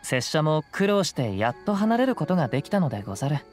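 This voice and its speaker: An actor playing a modest, composed young wandering samurai with archaic speech in an anime game. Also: male voice, on the high side, very animated, quick pace, faint music behind. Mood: calm, modest. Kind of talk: Japanese anime game voice acting.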